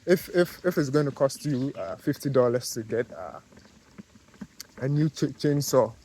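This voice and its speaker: A man with a Ghanaian accent talks casually to camera in a vlog, his voice sitting at a middle pitch. He speaks haltingly, filling gaps with fillers and restarting his sentences as he goes. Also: mellow, slightly rough, poor audio. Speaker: vlogger, car detailer.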